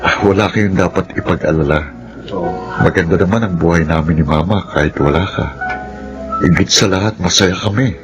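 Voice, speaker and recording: An actor playing a calm, forgiving young adult son in a Filipino radio drama, speaking sincerely with a Filipino accent. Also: male voice, sits low, moderate pace, natural voice. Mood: composed, firm. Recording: dull sound, loud music underneath.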